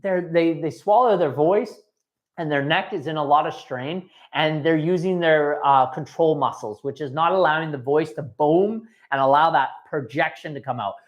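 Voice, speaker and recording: A man's voice: fairly high pitch, moderate pace, lo-fi sound. A man giving a live training talk as a dating coach, emphatic and repetitive, often checking in with his listeners.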